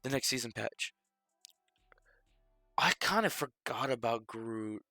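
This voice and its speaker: A young man talks into a close, dry microphone in a relaxed stream ramble. His voice sits at a middling pitch, slightly stuffy from a cold, and he keeps breaking off and restarting with fillers. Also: slow pace, dry recording, soft background music. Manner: loose, filler-heavy, self-interrupting. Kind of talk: casual rambling stream commentary.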